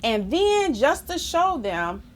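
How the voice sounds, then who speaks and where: A woman reads a children's rhyming book aloud with theatrical flair. Her voice sits fairly high and swings widely in pitch, and she takes her time over the lines. She chats to young listeners as she goes. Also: slow. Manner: expressive, theatrical, chatty asides to young listeners. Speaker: storybook reader.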